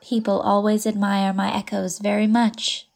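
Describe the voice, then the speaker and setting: A woman's voice: mid-range voice, flat delivery, moderate pace, creaky, a little mellow, lightly acted. A woman reading as a whimsical, independent lady of forty-five who loves to pretend, in a storybook. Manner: fond, playful, pretends freely. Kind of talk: audiobook character reading.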